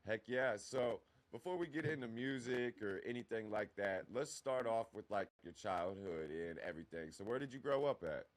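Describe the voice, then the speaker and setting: A man's voice: fairly low pitch, moderate pace, few pauses. A man in his mid-twenties hosting a podcast, asking questions in loose, rambling casual talk. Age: 20s. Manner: loose, rambling, lots of "you know what I mean". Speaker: podcast host. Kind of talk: casual interview chat.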